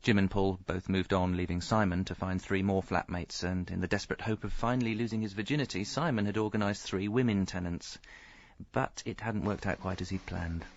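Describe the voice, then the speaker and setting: Sad-sack middle aged bloke narrating radio comedy, deadpan, low creaky voice. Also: male voice, fairly quick. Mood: rueful. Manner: deadpan, rueful. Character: rueful, self-pitying homeless husband narrating his misfortunes. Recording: slightly dull audio, faint music.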